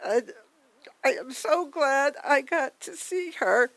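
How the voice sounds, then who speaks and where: An older woman with a soft voice giving a halting, heartfelt tribute at a memorial gathering. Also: high voice, animated. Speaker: memorial speaker.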